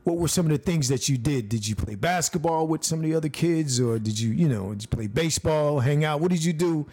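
Halting American podcast host, man. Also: fairly high pitch, darkish tone. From United States. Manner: halting, many restarts and fillers, jokey. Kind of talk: casual interview.

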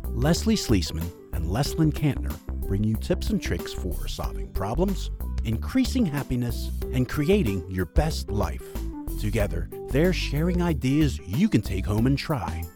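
Lively announcer over music. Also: mid-range voice, a little slow. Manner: lively, polished announcer delivery. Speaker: show announcer. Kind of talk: scripted show intro.

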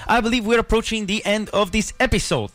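A man's voice: high-pitched, fast, fairly bright tone. A man hosting a podcast interview, enthusiastic and encouraging, with philosophical asides. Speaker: podcast host. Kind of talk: casual interview.

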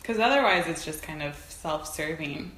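A woman with a lowish voice talks casually and reflectively, as if working out her thoughts aloud. She is earnest and informal. The room echoes around her, with faint noise behind. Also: animated, moderate pace, a bit bright. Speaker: interview guest, folk practitioner. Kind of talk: casual reflective interview conversation. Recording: roomy echo, faint background noise.

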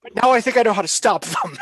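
A man with a slightly higher voice talks on a podcast in a relaxed way. He tells stories from his gaming years and slips into quoted voices. The sound is dry and close, with no room around it. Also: fast, breathy edge, no echo. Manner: chatty storyteller, acts out quotes. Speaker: podcast co-host. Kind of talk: casual chat.

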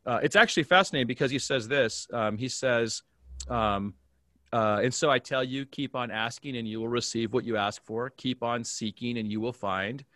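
An American man with a medium-pitched voice talks as a pastor being interviewed. He explains things in an earnest, conversational way and often checks that the listener follows. The sound is dry, with little room in it. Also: moderate pace, bright, forceful tone, dry recording. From Alaska, United States.

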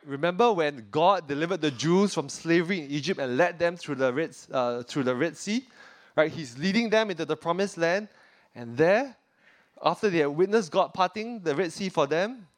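A man from Singapore, preaching a sermon as a pastor, earnestly tagging "right?" onto points and dropping low and quiet on weighty lines. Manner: earnest preaching, often adds "right?" to check in with listeners; drops pitch and volume on weighty points.

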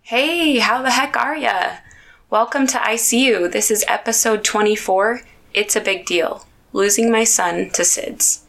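A woman hosting a podcast in upbeat, chatty talk, a little hoarse and raspy from a sore throat. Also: on the high side, a little slow, a bit bright, faint music behind. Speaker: podcast host. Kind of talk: casual podcast chat.

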